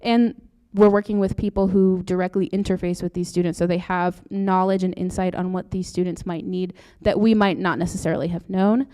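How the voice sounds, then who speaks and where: Young female grad student presenter, soft-spoken and earnest, warm and resonant on the mic. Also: lowish voice, little pitch movement, brisk, hardly any pauses, clear, resonant tone. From Brazil. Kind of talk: conference presentation. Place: lecture room. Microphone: handheld or podium mic.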